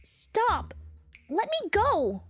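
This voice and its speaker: A woman's voice: very high voice, huge pitch swings, extremely slow, full character voice. A woman voicing a sweet, earnest young girl in love in a cartoon. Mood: alarmed.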